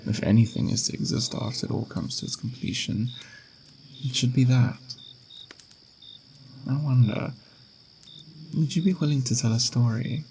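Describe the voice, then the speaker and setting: Man playing a creaky-voiced, mysterious ageless watcher. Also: mid-range voice, slight background noise, natural voice. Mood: soothing, inviting. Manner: unhurried, philosophical, softly reassuring. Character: mysterious ageless lingerer at time's end, patient and gentle. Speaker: ASMR roleplay performer. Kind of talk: ASMR roleplay, slow intimate monologue.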